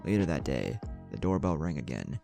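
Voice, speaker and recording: A man's voice: a bass voice, very animated, a little fast, gravelly, warm tone. A man reading a scripted story as a narrator, voicing the dialogue as he goes. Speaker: fan fiction narrator. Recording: music bed under the voice.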